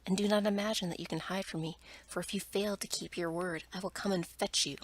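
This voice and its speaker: Lady reading as angry storybook beast guy, all whispery n breathy, stern formal orders. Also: fairly low pitch, steady tone, brisk. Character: stern, angry male beast, lord of a palace.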